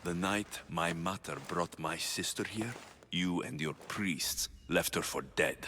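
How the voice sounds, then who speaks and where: An actor playing a blunt, determined Spartan mercenary in a video game, with a sardonic edge. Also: male voice, deep voice, a little slow, bright, forceful tone, a little hiss or hum. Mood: scornful, accusing. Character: blunt, determined male Spartan mercenary searching for his mother.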